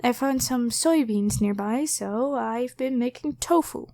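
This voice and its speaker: Woman acting high-voiced, flustered, grateful young woman. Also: a little slow, a little mellow. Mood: teasing, hesitant. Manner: rambling, apologetic, hesitant, stammering. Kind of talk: ASMR roleplay addressed to the listener.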